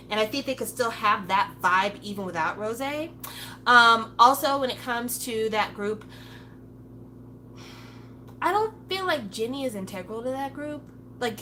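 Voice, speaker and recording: A woman's voice: fairly high pitch, sharp tone, slight background noise. A woman giving a casual, blunt opinion monologue, swearing freely and breaking into playful impressions of other people. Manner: acts out playful voices of others. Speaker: commentator.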